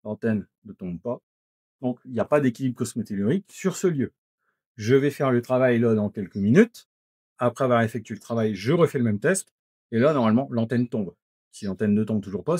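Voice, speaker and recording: A man at a middle pitch walks room to room through a house, calmly explaining each test as he carries it out. The sound is dry and close, with hardly any reverb. His delivery is casual and explanatory. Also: brisk, breathy edge. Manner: step-by-step, explanatory, talks while working. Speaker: geobiologist doing an energy clearing. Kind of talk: on-the-spot demonstration with explanation. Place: indoors in a house, moving between rooms.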